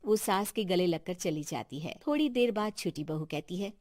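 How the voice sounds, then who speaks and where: A woman's voice: fairly low pitch, expressive, normal speed, darkish tone, no room sound, studio quality. A woman giving scripted narration for a family story.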